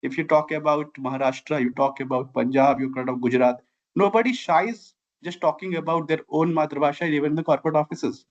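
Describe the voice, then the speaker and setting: A middle-aged man from Bihar speaks with an Indian accent, Maithili being his first language. His voice sits a little high as he talks earnestly and conversationally as an interview guest. The audio is dull and somewhat lo-fi. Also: steady tone, fast. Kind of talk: conversational personal storytelling.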